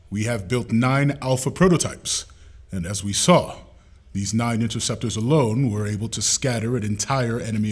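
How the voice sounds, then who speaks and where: An actor playing a businesslike military leader giving a formal, authoritative briefing in a husky voice, in an audio drama. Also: male voice, medium pitch.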